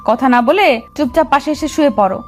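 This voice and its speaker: High-pitched actress as young woman, bossy and coy, teasing romance-story delivery, music underneath. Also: brisk, darkish tone, lightly acted. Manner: scolding, commanding, coy. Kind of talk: Bengali romantic audio story acting.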